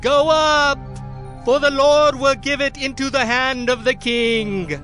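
A man's voice: very high-pitched, steady tone, slow, pushed and bright, soft background music, heavily acted. A man playing a zealous, triumphant false prophet in a dramatized audio Bible, proclaiming as if chanting.

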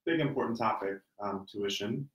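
Low-voiced man explaining in an echoey room. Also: moderate pace. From Cincinnati, Ohio. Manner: steady, explanatory. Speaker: admissions counselor. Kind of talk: informational panel talk. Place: meeting room with branded backdrop.

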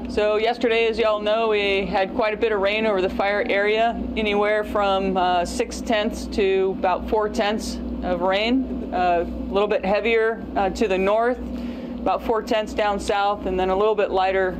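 A middle-aged American woman giving a plainspoken operational briefing as a section chief, with hesitant pauses. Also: mid-range voice, fairly even intonation. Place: briefing spot in front of a wall map. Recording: clear background noise, vehicle sounds.

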